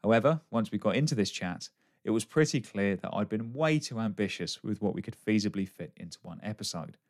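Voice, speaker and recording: Low-voiced man hosting a podcast. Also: animated, fairly quick. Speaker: podcast host. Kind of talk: scripted podcast introduction and hosting guests.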